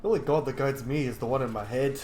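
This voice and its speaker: A man's voice: medium pitch, fast talker, roomy sound, over music. A man giving casual, playful commentary on a game playthrough, often muttering to himself. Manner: offhand, playful, talking to self. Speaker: gaming video host.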